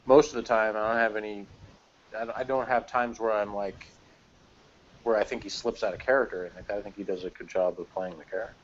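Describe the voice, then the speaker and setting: A man with a fairly low voice gives his opinions in a casual review conversation. He talks in a measured, rambling way, often hesitating as he works out a point. The sound is muffled and lo-fi, like an online call. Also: a little hiss or hum. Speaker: guest co-reviewer.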